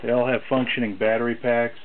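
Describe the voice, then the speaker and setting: The recording is thin and narrowband, with loud radio noise behind the voice. A man with a mid-pitched voice talks casually and bluntly, as a seller showing off old equipment in an unpolished, offhand demonstration. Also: little pitch movement, a little fast. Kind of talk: casual off-the-cuff product demonstration. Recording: tinny narrowband audio, loud radio static. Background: loud shortwave radio noise.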